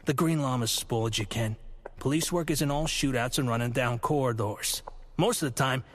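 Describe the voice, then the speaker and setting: An actor playing a confident, patient police commissioner in a radio drama, explaining things in a dryly wry way. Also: male voice, mid-range voice, normal speed, close to an everyday voice.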